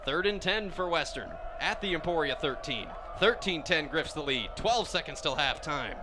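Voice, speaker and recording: A man's voice: a little high, lively intonation, normal speed. An American man doing live play-by-play commentary of a football game as a radio announcer. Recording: loud outdoor stadium noise behind voice.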